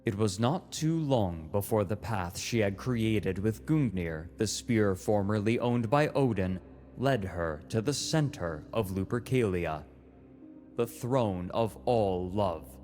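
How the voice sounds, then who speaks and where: An actor playing a formal, precise chronicler narrating a fantasy saga in documentary style, matter-of-fact and pedantic. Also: male voice, lowish voice, animated, slow pace, a little mellow. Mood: measured, matter-of-fact. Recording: music behind.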